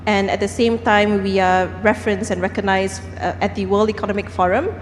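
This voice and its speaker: A woman's voice: mid-range voice, level tone, fairly quick, faint music behind. A woman from Singapore speaking on a forum panel, earnest, with frequent fillers and restarts. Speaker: panel speaker, company founder. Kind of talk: panel discussion. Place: large conference hall. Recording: echoey hall, faint background noise.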